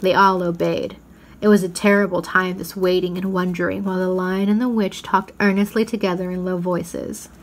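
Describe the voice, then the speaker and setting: A woman's voice: lowish voice, flat delivery, normal speed, poor audio. A woman narrating a children's story as a storyteller, reading expressively from the text.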